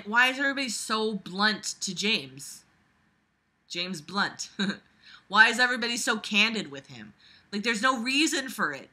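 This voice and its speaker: A woman's voice: on the high side, bright, forceful tone. A woman reading a novel aloud and breaking in with exasperated, sarcastic, foul-mouthed commentary. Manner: exasperated, sarcastic, swearing freely. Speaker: book reader and commentator.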